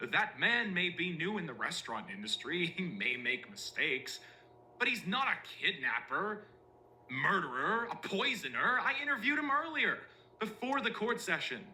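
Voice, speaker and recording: A man acts out a smug, self-assured official in an analog horror drama. His voice is very bright and forceful, swinging animatedly as he persuades with theatrical, deliberate emphasis. Faint noise sits behind him. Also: fairly high pitch, full character voice, rough recording. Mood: confident, dismissive.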